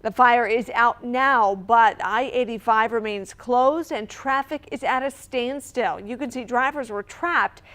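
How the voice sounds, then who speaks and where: A woman's voice: on the high side, a bit bright. A woman delivering a scripted television news report.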